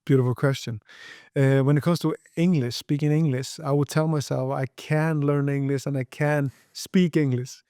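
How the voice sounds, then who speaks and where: A man's voice: mid-range voice, steady tone, normal speed, dark tone, no echo, clean studio sound. A young Icelandic man speaking English as a second language in a podcast interview, earnest, with many repeated words and restarts. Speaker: guest entrepreneur.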